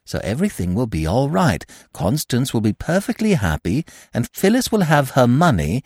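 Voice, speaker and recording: A flustered middle-aged gentleman from a comic audiobook, stammering and panicky, his voice jumping high in alarm. A man voices him while narrating. Dry, close sound. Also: wildly swooping pitch, normal speed, husky, no room sound, exaggerated voice. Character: flustered middle-aged stepfather, nervous and guilty.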